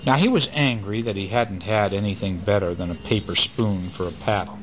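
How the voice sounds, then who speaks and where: An older man reading a children's book aloud at home and chatting gently with a child between passages. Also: lowish voice, normal speed, a little hiss or hum, rough recording. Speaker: father reading a bedtime story. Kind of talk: bedtime story reading to a child.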